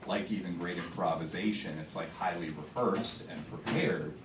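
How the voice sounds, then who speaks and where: A man's voice: sits low, moderate pace. A man, a theater director, chatting loosely to an audience before a show, with many fillers and restarts. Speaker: theater director giving a pre-show talk. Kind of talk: casual pre-show talk to an audience. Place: theater auditorium. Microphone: held microphone heard from a distance. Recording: echoey theater, far from the mic, noisy.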